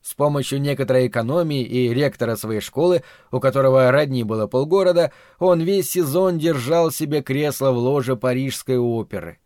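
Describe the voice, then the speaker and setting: A man reading a novel aloud as an audiobook narrator, in measured, calm scripted storytelling. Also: medium pitch, no echo.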